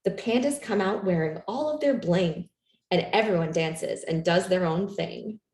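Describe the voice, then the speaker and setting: A woman reading a children's picture book aloud in an expressive, animated storytelling manner for young listeners. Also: on the low side, a little slow. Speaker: storytime reader. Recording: roomy, echoey room sound.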